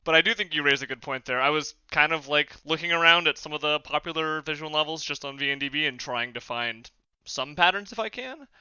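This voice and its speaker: A man's voice: a little high, brisk, bright, forceful tone, smooth, no room sound, lo-fi sound. A man talking casually as a panelist in a podcast discussion.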